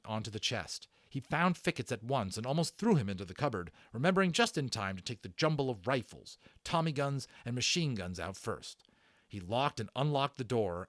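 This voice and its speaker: Guy doing a dramatic storybook read aloud, super expressive, dry recording. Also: mid-range voice, no room sound. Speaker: audiobook narrator, storyteller.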